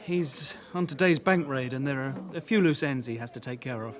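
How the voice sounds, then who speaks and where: An actor plays a young British male detective constable in a radio drama. His voice sits at a middle pitch. He is awkward and unsure of himself, often hesitating as he talks. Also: fairly quick, natural voice. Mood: hesitant. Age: young adult. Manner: hesitant, diffident.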